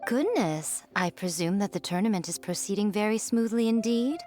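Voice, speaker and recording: A woman's voice: medium pitch, animated, moderate pace, dark tone, faint music behind, natural voice. A woman voicing an elegant, sly priestess and editor in a video game, with a poised, teasing, theatrical manner. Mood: coy, composed.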